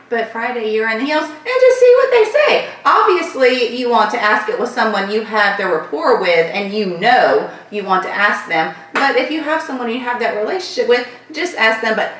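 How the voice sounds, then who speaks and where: A woman from Florida with a light Southern accent, hosting a casual viewer Q&A vlog and rambling warmly through her answers. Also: a little high, expressive, moderate pace, distant mic, a little hiss or hum. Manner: chatty, repeats thanks, loose rambling sentences. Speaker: channel host answering viewer questions. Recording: echoey room, voice a bit off-mic.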